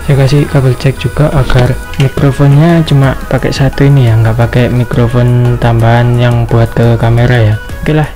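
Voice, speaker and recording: A man's voice: mid-range voice, dark tone. A man giving a casual product review in an informal, explanatory way. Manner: informal, explanatory, frequent tag words.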